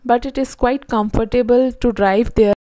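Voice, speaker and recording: A woman's voice: on the high side, fairly even intonation, normal speed, dark tone, no room sound. Woman narrating a road trip. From India. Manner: plain, informative, addresses viewers directly. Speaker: travel vlogger.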